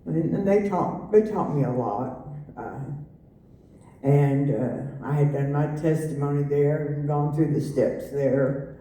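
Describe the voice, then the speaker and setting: A middle-aged woman giving a personal testimony, halting with many fillers and restarts. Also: low voice, warm tone. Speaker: testimony speaker. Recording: echoey room, voice from far off.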